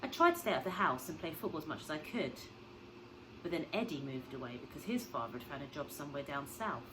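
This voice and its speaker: A woman teacher reading a storybook aloud expressively to children, pausing to explain and ask simple questions. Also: low voice, very animated, noisy background.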